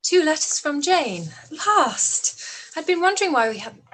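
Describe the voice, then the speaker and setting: A woman playing a poised, quick-witted young gentlewoman in a period radio play, courteous and composed in manner. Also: sits high, very animated, full character voice, rough recording. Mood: pleased, eager.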